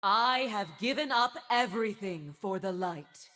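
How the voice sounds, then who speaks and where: A woman's voice: medium pitch, a little slow, sharp tone. A woman playing a stern, zealous divine winged warrior in a video game, making solemn, grandiose declarations. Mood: fervent, righteous. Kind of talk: video game character voice lines.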